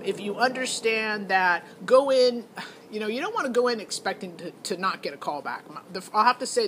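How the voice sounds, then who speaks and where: A woman's voice: medium pitch, fairly bright tone, a little hiss or hum. A middle-aged woman giving a casual, rambling review as a parent, thinking aloud in a hesitant, matter-of-fact way. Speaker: parent vlogger reviewing a singing show audition.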